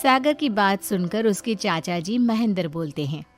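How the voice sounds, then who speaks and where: A woman's voice: mid-range voice, animated, moderate pace, faint music behind. A woman reading a scripted moral story as its narrator.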